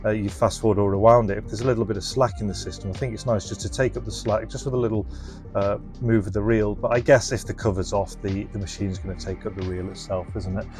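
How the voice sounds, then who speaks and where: A man with a fairly low voice presents a casual hands-on demonstration. He explains things informally, thinking aloud as he works through each step. Some music can be heard with his voice. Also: steady tone, quick pace, a little mellow. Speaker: presenter and reseller of restored retro electronics.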